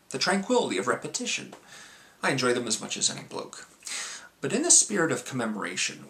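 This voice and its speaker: An eloquent male revolutionary addresses the nation as acted by a man. His delivery is measured and rhetorical, in a creaky, gravelly voice with a bright, forceful edge, like a speech from a film. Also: mid-range voice. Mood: courteous, calm. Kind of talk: memorized film monologue, theatrical speech.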